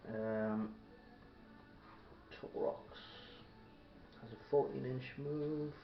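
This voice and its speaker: A man's voice: medium pitch, extremely slow, faint music behind. A man chatting casually as he plays a tabletop wargame and explains the rules. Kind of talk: casual game-table chat. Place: indoor room at a gaming table. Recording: dull, echoey room recording with background noise. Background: faint background noise, radio playing.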